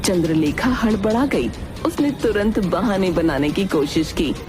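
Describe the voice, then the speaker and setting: A woman's voice: medium pitch, expressive, unhurried. A woman narrating a scripted dramatic folk tale as a storyteller. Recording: music under the narration.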